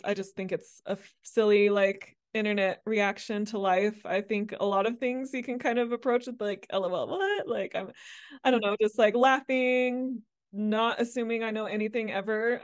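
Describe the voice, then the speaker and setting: A woman's voice: medium pitch, no room sound. Playful young woman, dry close sound. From Netherlands, raised in Mississippi. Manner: light, playful, chatty with frequent fillers and laughs. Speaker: interview guest, motorcycle rider. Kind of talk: casual interview chat.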